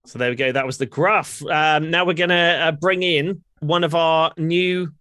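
Guy hosting a call-in show, bright tone, easygoing, lots of uhs. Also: fairly high pitch, normal speed, clear, resonant tone, dry recording. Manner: relaxed, says uh and um a lot while running the stream. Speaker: podcast host taking calls. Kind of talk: casual chat.

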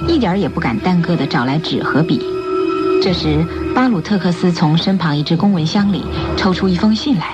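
A woman with a slightly low voice narrates a dramatic story for radio. She is measured and expressive. The recording is dull, with music clearly playing behind her.

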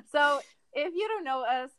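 A woman's voice: high-pitched, expressive, takes it slowly, a bit bright. A young woman from Venezuela, a native Spanish speaker with a Venezuelan accent, co-hosting a podcast and teasingly steering the casual chat. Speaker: podcast co-host.

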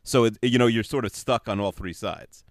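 A man co-hosting a podcast, thinking out loud in a rambling, hesitant way with many restarts. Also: lowish voice, a little fast, no room sound, studio quality. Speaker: podcast co-host. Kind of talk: casual podcast chat.

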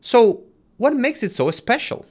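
A man from Ecuador co-hosting a podcast, reading a scripted history segment in an expressive way. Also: measured pace. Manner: animated, expressive delivery with wide pitch swings. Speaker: podcast co-host.

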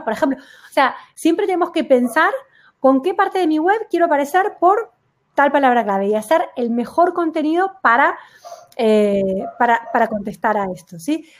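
High-pitched woman, seo consultant, casual and emphatic, tosses out quick examples. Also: animated. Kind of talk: casual explanatory conversation.

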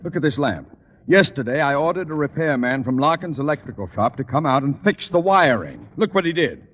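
An actor playing an ill-tempered, pompous middle-aged school principal in a radio sitcom. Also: male voice, fairly high pitch, expressive, brisk, natural voice. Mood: exasperated, furious. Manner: pompous, exasperated, imperious. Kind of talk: 1940s-50s radio sitcom acting. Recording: muffled, noisy vintage radio recording, echoey hall.

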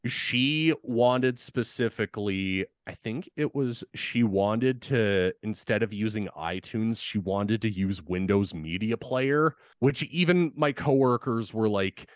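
A young man with a deep voice, casually telling a work story on a podcast and swearing as he vents. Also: slow, few pauses, no echo. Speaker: podcast co-host.

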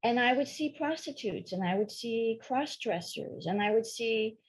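Frank, husky middle-aged woman therapist. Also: a little high, lively intonation, normal speed, rough recording. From France. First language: French and English, bilingual. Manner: frank, candid, matter-of-fact with hesitations. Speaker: sex therapist guest. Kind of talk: storytelling interview answers.

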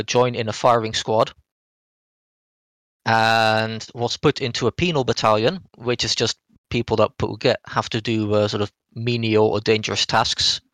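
A man co-hosting a podcast, casually reviewing a game in a halting, rambling way with frequent hedging and rephrasing. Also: on the low side, a bit bright, dry recording. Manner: hesitant, halting delivery, often hedging and rephrasing.

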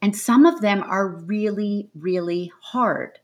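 A woman's voice: mid-range voice, slow pace. A woman giving an interview as a novelist, talking reflectively and with earnest emphasis, like someone telling a story.

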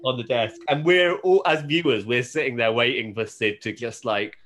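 Male podcast co-host, medium pitch. Loose, hesitant, amused storytelling in a conversational recap. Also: moderate pace, fairly bright tone, a little hiss or hum. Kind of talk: casual chat, episode recap.

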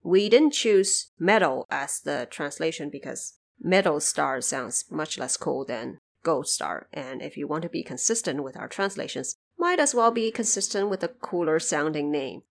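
A woman's voice: low-pitched, animated, normal speed, gravelly, few pauses. A woman from China hosting an explanatory podcast, speaking in an informal, wry way. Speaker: podcast host. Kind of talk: explanatory podcast commentary on folklore.

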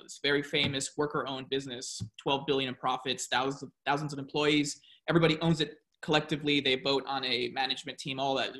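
Bright-voiced man, reflective guest. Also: a little high. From United States. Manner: reflective, explains at length. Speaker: podcast guest, professor. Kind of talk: casual interview talk.

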